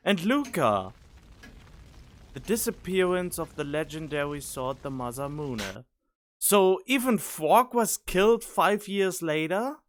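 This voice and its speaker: A man's voice: fairly high pitch, sing-song, slow pace. A man giving casual reaction commentary on game cutscenes, puzzled and thinking aloud as he goes. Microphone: studio condenser microphone. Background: music from game cutscenes.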